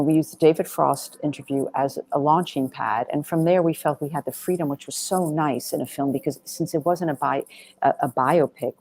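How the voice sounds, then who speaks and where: A woman with a low voice speaks as a documentary director in a Q&A. Her delivery is conversational and rambling, leaning on "you know" and stressing her points as she goes. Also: a little mellow, a little hiss or hum. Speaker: documentary director being interviewed. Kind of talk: conversational Q&A answers.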